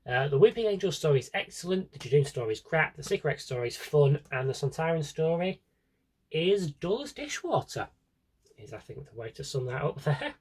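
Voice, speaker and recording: A man's voice: on the high side, big pitch swings, fairly quick, a little mellow, husky. A British man chatting casually through a vlog-style review, wry and opinionated, with rambling asides. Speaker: vlogger showing a collection.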